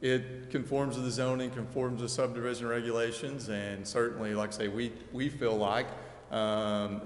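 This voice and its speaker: A man speaking off the cuff as a project engineer at a council meeting, explaining things in a folksy, roundabout way. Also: medium pitch. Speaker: developer's engineer presenting a subdivision plat. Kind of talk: unscripted public presentation at a city council meeting. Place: indoor council chamber. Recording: echoey reverberant hall with background noise.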